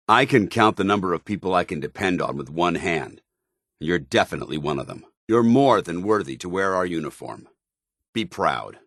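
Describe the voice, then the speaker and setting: Give this game soldier a very low, clean voice recorded close and dry. He is a stern, earnest paladin, formal and commanding, loyal to his order. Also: fairly bright tone, dry recording, heavily acted. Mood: earnest, admiring. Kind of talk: video game companion dialogue.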